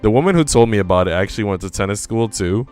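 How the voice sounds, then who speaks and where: Low-pitched, clear-voiced man reading aloud. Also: animated, fast talker, a little mellow, faint music behind. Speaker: streamer reading a visual novel aloud. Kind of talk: live read-aloud of visual novel narration with casual asides.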